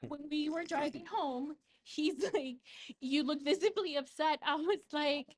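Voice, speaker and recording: High-voiced, sweary woman. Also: a little slow, dry recording. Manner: blunt, sweary. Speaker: podcast panelist. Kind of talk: casual storytelling chat.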